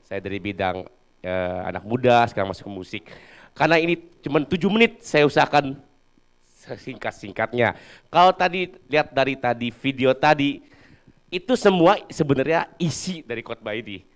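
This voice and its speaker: A man in his thirties giving a short, casual sermon, hoarse after drumming, joking with the congregation and laughing often. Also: mid-range voice, lively intonation, moderate pace. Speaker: worship speaker, church musician.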